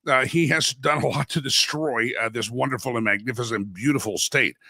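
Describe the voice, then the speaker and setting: Stuffy-voiced male talk host, bright tone. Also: medium pitch, fairly quick, dry recording, studio quality. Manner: folksy, chatty, many restarts and asides. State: stuffy head cold, voice a bit sore. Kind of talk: casual political talk show monologue. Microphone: studio microphone.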